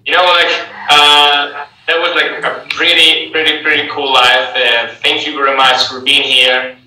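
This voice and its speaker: A man's voice: on the high side, level tone, measured pace, sharp tone. Echoey, distant man hosting a live chat. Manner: heavy fillers, repeats words. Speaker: host and English teacher. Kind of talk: casual live-stream interview. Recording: echoey room, distant from mic.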